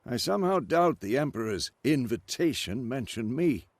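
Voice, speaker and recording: An actor playing a seasoned old monster hunter in a video game, speaking in a dry, wry manner. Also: male voice, medium pitch, lively intonation, normal speed, no echo. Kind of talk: video game dialogue acting.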